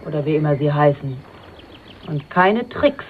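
A man's voice: on the high side, lively intonation, normal speed, natural voice. Radio thriller villain, male actor: raised register, taunting, menacing, noisy recording. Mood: mocking, menacing. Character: menacing, mocking male antagonist in a crime story. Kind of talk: radio crime drama acting. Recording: dull radio recording with clear noise.

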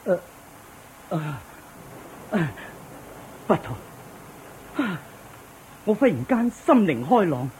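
A woman's voice: fairly low pitch, very animated, slow, long pauses, a little hiss or hum, poor audio. A woman playing a proud, boastful rich landlord in a Cantonese radio drama. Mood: humbled. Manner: boastful, shouting at the sky.

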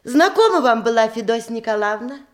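An actress playing a genteel, scheming widowed aunt in a Russian radio comedy in verse, with measured theatrical delivery. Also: female voice, on the high side, expressive, close to an everyday voice. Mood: confiding, approving.

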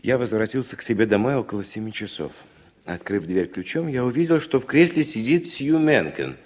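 An actor playing a principled, hot-headed young American writer in an earnest, emphatic Soviet radio drama style. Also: male voice, mid-range voice, animated, a little fast, a little mellow, close to an everyday voice. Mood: sombre narrating. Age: young adult. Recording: reverberant old radio recording with noise.

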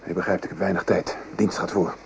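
A man playing a weary French artillery sergeant in a radio play, gravely recounting the horrors of war. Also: sits low, level tone, brisk. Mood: subdued, resigned. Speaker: actor. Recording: noisy old radio play recording.

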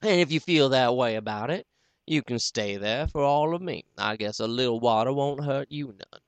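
Man voicing a blunt mocking country fellow, mid pitch, dry. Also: moderate pace, smooth, dry recording, lightly acted.

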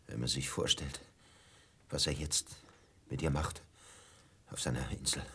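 An actor in a German radio drama playing a whining, resentful barkeeper with a husky voice, given to self-pitying rants. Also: male voice, very deep voice, steady tone, unhurried, a bit bright, exaggerated voice. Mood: brooding, vengeful. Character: whining, resentful hotel barkeeper, obsequious yet vengeful man.

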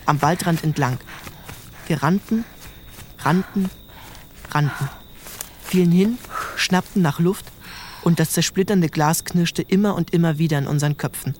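An actor narrating an audio drama in the first person as a reflective youngest brother. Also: male voice, fairly high pitch, measured pace, pauses often, a little mellow, a little hiss or hum, close to an everyday voice. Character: reflective youngest brother recalling a rough childhood.

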